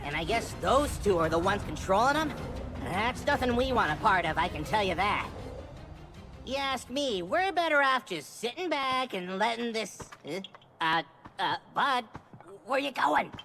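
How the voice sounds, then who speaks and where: A woman's voice: fairly high pitch, big pitch swings, measured pace, heavily acted. A woman voicing a small, cocky, chatty forest spirit sidekick in a video game, with slangy, wheedling talk and stammers. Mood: wary, reluctant. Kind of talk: animated video game cutscene acting. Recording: music loud behind the voice. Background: game soundtrack music.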